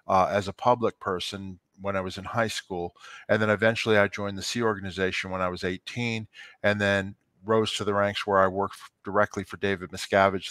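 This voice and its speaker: A man's voice: fairly low pitch, fairly bright tone, no room sound. An older man co-hosting a casual video-call chat from his kitchen, talking through a headset with lots of fillers and affirmations. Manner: chatty, affirming, many fillers. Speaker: co-host. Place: home kitchen, indoors.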